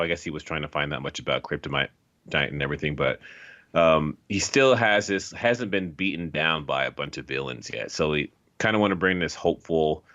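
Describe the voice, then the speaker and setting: A man chatting casually as an interview guest, in halting, rambling phrases, on a muffled lo-fi recording. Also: very deep voice, sharp tone. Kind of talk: casual chat. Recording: dull, lo-fi voice track.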